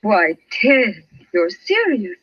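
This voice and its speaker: A woman's voice: medium pitch, big pitch swings, takes it slowly. A woman playing a breezy, evasive young wife, light and teasing, in vintage radio drama style. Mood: puzzled, innocent. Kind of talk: 1940s radio acting. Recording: dull, noisy old radio recording.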